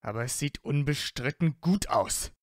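An actor playing a proud, teasing young blond wizard in a fan fiction audio drama, with smug banter. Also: male voice, fairly high pitch, lively intonation, normal speed, no room sound, clean studio sound, natural voice.

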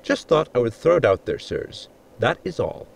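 An actor playing a polite, literal-minded ship's assistant with a gravelly, husky voice, explaining formally in a sci-fi audio comedy. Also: male voice, medium pitch, sing-song, moderate pace. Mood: formal, matter-of-fact. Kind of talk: sitcom audio drama acting.

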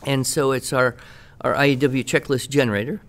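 A man's voice: mid-range voice, fairly even intonation, fairly quick, fairly bright tone. Enthusiastic middle-aged American man, emphatic talker. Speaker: podcast guest expert and writing teacher. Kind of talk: conversational product talk on a podcast.

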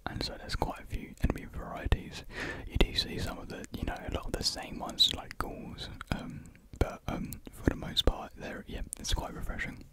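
A young man speaks in a breathy whisper close to the microphone, rambling softly and hesitantly in a casual ASMR chat. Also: moderate pace, few pauses. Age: young adult. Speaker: ASMR video creator. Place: small room with painted block walls. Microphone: large desktop USB condenser microphone, close up.

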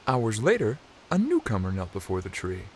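A man's voice: fairly low pitch, huge pitch swings, moderate pace, poor audio. A man narrating a scripted story as a dramatic storyteller. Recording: loud ambient noise behind voice.

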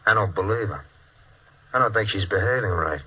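Sneering hard-boiled crime boss. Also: male voice, lowish voice, lively intonation, brisk, husky. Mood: sneering menace. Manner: clipped gangster slang, sarcastic. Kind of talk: 1950s radio crime drama acting. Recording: old noisy muffled radio recording.

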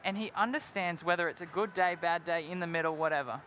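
A man's voice: a little high, quick pace, sharp tone. Young guy cohost, headset mic, big hall, upbeat earnest bible talk, a little noise behind. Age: young adult. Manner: earnest, upbeat, drops in "like" and "right?". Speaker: co-host of a youth Bible panel. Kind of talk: live devotional discussion. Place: large indoor convention hall stage with crowd.

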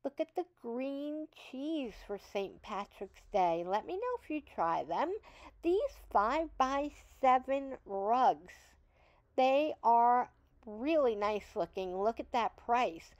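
A woman's voice: fairly high pitch, sing-song, slow pace, dry recording, muffled recording. A middle-aged woman hosting a shopping vlog, chatting in an upbeat, enthusiastic voice-over. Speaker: vlog host.

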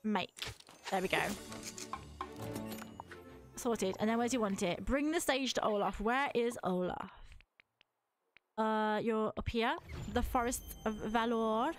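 Woman, 30s, fairly high voice, mild cold, chatty gameplay commentary, headset mic, faint background music. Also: slow, long pauses. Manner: chatty, self-talking, giggly asides. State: has a mild cold, a little tired. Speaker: livestreamer.

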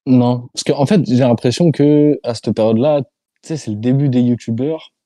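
A man's voice: medium pitch, normal speed, dark tone, no echo. A man from France, a YouTuber guest, answering interview questions over a video call in a hesitant, slangy way. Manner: hesitant, many fillers and restarts, loose slang.

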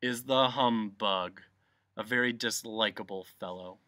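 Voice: mid-range voice, big pitch swings, fast talker, pushed and bright. A reader voicing an indignant, disdainful male bee with a prim, sneering manner in a storybook. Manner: sneering, prim, spells words out.

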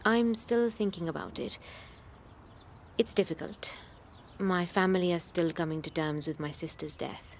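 An actress playing a polite, watchful tenant in a radio soap, measured and gently probing. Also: female voice, lowish voice, slight background noise, lightly acted.

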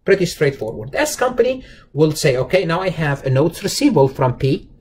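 A man's voice: fairly high pitch, sing-song, brisk, darkish tone, breathy edge. A man giving an informal accounting lecture, explaining step by step with emphatic repetition and rhetorical questions aimed straight at the learner. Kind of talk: explanatory lecture over slides, informal and conversational. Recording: noticeable background noise.

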